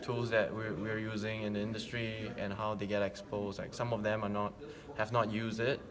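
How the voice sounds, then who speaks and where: Man, from Singapore, low voice, friendly, brief, hall reverb. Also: level tone, moderate pace. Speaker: interview guest, workshop leader. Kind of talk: casual conversational interview. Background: crowd chatter.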